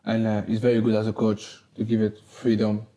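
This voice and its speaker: French-accented man, soft mellow voice. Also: fairly low pitch, completely flat delivery. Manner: halting, simple phrasing, laughs easily. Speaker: footballer guest. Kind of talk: casual interview. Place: small wood-panelled locker room. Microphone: lapel.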